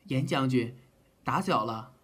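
A man's voice: medium pitch, a little slow, fairly bright tone, breathy edge. A man playing an earnest, polite army physician in an ancient Chinese audio drama, with a hesitant, courteous way of talking.

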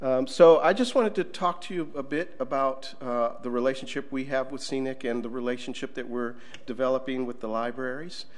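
A man's voice: medium pitch, a little fast, few pauses. Middle-aged guy talking at a conference, laid-back, lots of ums, table mic. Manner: relaxed, conversational, with fillers. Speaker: conference speaker. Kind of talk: conference presentation. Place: conference room.

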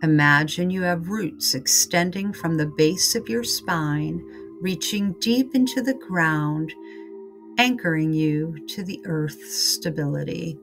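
Female meditation guide, slightly low voice, very unhurried scripted read, soothing and calm. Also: over music.

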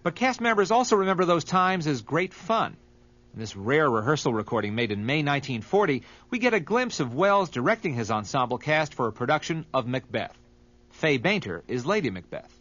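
A man's voice: on the high side, sing-song, fairly quick, a bit bright. A man delivering scripted documentary narration. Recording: slightly dull, muffled recording.

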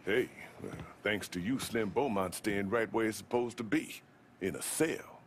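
An actor playing an easygoing activist ally in a video game, casual and teasing. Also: male voice, on the low side, moderate pace, a little hiss or hum, lightly acted. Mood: appreciative, teasing. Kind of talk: video game cutscene acting.

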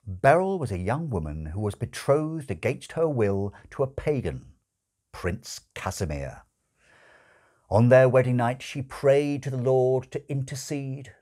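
Male reader, 60s, for humorous fiction: wry, dramatic delivery, deliberate pauses, very animated intonation, mid-range pitch. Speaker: audiobook-style reader. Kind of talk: expressive reading of a comic novel. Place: small furnished room at home, indoors.

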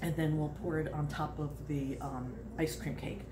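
A woman in her sixties talks through a home cooking demonstration in a loose, rambling way, breaking into little laughs. Her voice sits on the low side. The phone recording carries the echo of a hard-walled kitchen and a steady layer of background noise. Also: moderate pace. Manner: chatty, rambling, laughs often. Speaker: home cooking video host.

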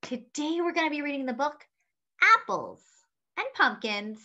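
A young woman reading a picture book aloud to children with animated, expressive delivery. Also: sits high, normal speed. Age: young adult. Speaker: children's story-time reader. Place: small room indoors at home. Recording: slightly dull webcam audio.

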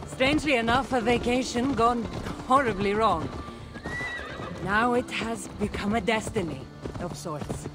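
A woman's voice: on the high side, a little slow, music in the background, natural voice. An actress playing a wry, seasoned woman adventurer in a video game, teasing and playful but earnest when giving warnings. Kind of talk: video game cutscene acting.

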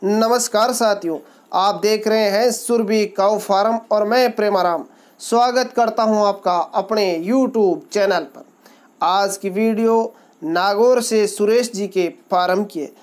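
A man from Rajasthan hosting his channel, giving a promotional voice-over that lists a cow's features in a steady, formal sales tone. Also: high voice, a little slow. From Rajasthan, India. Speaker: channel host, livestock seller presenter. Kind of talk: promotional voice-over describing a cow for sale.

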